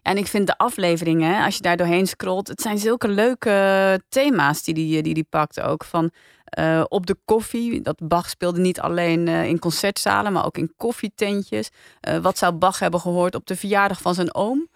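A woman's voice: fairly low pitch, moderate pace, vocal fry, no room sound, clean studio sound. A woman with a Dutch accent hosting a podcast in casual review chat. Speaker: podcast host.

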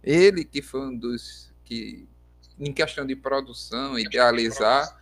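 A man with a gravelly, mid-pitched voice hosts a homemade interview show in a mock radio style. He teases his guest, laughs things off and stumbles over his words as he goes. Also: a little slow, soft background music. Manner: teasing, jokey, trips over his words. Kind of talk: playful mock radio hosting.

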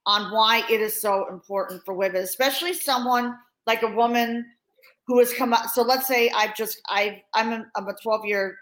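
A woman's voice: a little high, normal speed, poor audio. A woman hosting a podcast, affirming her guest and posing long questions with restarts. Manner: warm encouraging interviewer, affirming, with restarts when asking. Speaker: podcast host. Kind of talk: interview hosting.

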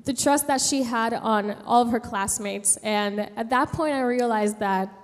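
A young woman from San Juan tells a personal story to a gathering, haltingly, pausing mid-sentence. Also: a little high. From San Juan, Puerto Rico. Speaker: speaker giving a personal testimony. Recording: long hall reverb.

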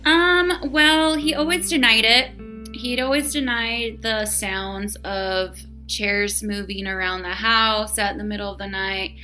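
A woman guest who grew up between the US and Argentina talks in a loose, chatty way. Her voice is bright and forward, and her answers run with fillers and easy laughter. Faint music plays behind her. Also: medium pitch, steady tone, slow. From United States and Argentina. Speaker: guest, paranormal investigator. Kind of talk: casual interview chat.

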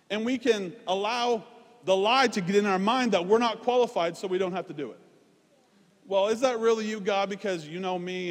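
A man preaching a conversational, informal sermon, emphatic at points and dropping into quieter, self-deprecating asides. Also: high voice. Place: large reverberant room, preaching live. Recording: reverberant hall sound.

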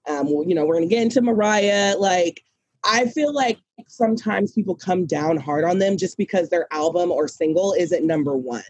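A woman with a low speaking voice chats as a podcast guest, animated and emphatic and quick to laugh. Her tone is a little husky, and the sound is dry and close with no room around it. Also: no room sound. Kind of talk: casual chat.

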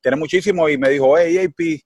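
Cuban guy chatting on a podcast, lively, tons of "you know", dry mic. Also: on the high side, fairly quick, no echo. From Cuba. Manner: animated, heavy fillers like "tú sabes" and "¿me entiendes?". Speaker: guest singer. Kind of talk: casual interview chat.

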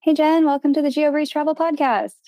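Upbeat woman podcast host, brighter pitch. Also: fairly even intonation, a little fast, no echo, lo-fi sound. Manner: upbeat and friendly, reads ad copy smoothly. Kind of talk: podcast intro and interview.

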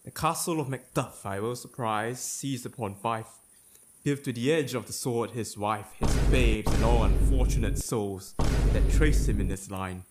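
A man's voice: medium pitch, a little slow, close to an everyday voice. A man acting a Shakespearean king, ambitious, fearful and vengeful, in a theatrical, declamatory dramatic reading. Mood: grimly resolved. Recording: loud ominous music behind the voice. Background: ominous music.

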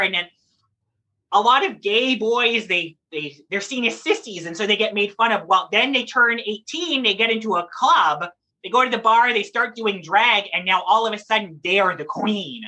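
A drag performer giving conversational interview answers in an animated, explanatory, emphatic way. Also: medium pitch, slightly rough, rough recording.

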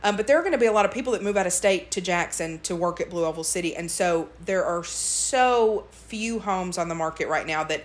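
A chatty woman, co-hosting a relaxed podcast. She talks freely and breaks into laughter often. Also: on the low side, fairly quick, hardly any pauses. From West Tennessee. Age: 30s. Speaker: podcast co-host. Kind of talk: casual chat.

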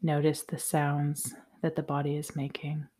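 A woman guiding a yoga nidra meditation in a soothing, measured, softly spoken way. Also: sits low, completely flat delivery, slightly rough. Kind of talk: guided meditation, slow instructions.

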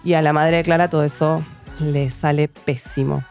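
A woman's voice: deep voice, fairly even intonation, normal speed, darkish tone, soft background music. A woman co-hosting a book podcast, talking in a thoughtful, hesitant way with many restarts. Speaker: podcast co-host reviewing books. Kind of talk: casual book chat.

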